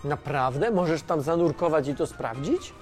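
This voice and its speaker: An actor playing an excitable, scatterbrained, inquisitive man who rambles and repeats himself in a children's educational audio drama. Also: a little high, moderate pace, soft background music, lightly acted. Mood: curious, enthusiastic. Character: Tomasz, scatterbrained, excitable, inquisitive male friend of space probe. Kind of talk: children's educational radio play.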